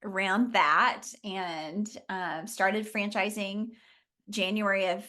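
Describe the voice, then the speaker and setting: A woman's voice: mid-range voice, steady tone, slow pace, pushed and bright. Upbeat chatty woman telling her story. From Oklahoma. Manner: chatty, laughs often, upbeat. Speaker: franchise founder, interview guest. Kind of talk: casual storytelling.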